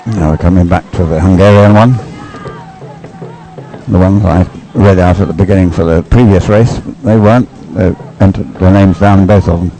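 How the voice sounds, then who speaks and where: Deep-voiced man commentating on sport over music. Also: steady tone, moderate pace, mellow, rough recording. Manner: hesitant, conversational, loosely phrased. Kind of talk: live commentary on dragon boat racing.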